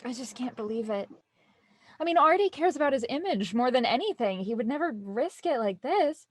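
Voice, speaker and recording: A woman playing an anxious, stubborn and wry young woman in a naturalistic audio drama, with halting, stammering speech. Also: high voice, animated, dry recording. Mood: insistent. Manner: halting, stammering, rambling when nervous.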